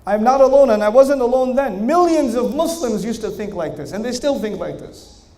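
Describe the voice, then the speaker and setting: A man's voice: very high-pitched, expressive, normal speed, a little mellow. A man giving a religious lecture to an audience, telling his own story in a conversational way, with rhetorical questions and rising emphasis. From Pakistani family, raised in Germany, Saudi Arabia and New York. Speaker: Islamic lecturer. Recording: echoey hall.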